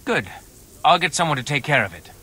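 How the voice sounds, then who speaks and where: A man's voice: fairly high pitch, very animated, a little fast, background noise. A man playing a grateful, chummy army quartermaster in a medieval video game. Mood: grateful, pleased. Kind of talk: video game dialogue acting.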